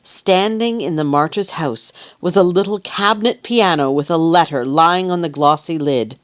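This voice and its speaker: A woman's voice: lowish voice, moderate pace, lightly acted. A woman narrator reading scripted storybook narration in an audio play.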